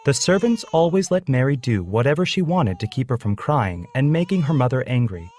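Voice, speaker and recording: A man's voice: medium pitch, very animated, fairly quick, a little mellow. A man reading a scripted children's story as its narrator.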